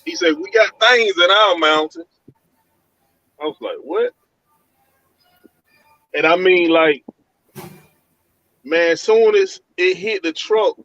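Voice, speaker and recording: A man from Georgia telling of a frightening night encounter over a call from his van, in animated, colloquial speech. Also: fairly high pitch, no echo, dull audio. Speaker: guest telling an encounter story. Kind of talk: casual storytelling over a call.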